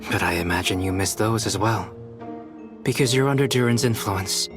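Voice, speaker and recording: A man's voice: lowish voice, moderate pace, over music, lightly acted. A man voicing a composed, analytical young scholar in a video game, speaking formally and with detachment. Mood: cool accusation.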